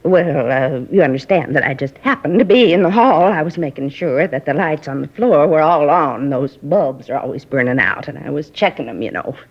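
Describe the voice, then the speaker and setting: A nosy, gossipy middle-aged neighbor, rambling and confiding, slightly breathy, old radio drama sound. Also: female voice, sits low, expressive, normal speed, hardly any pauses, heavily acted. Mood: gossiping. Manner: chatty, rambling, confiding, folksy grammar. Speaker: apartment neighbor questioned by police. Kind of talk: 1950s radio drama acting. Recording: dull lo-fi 1950s radio recording.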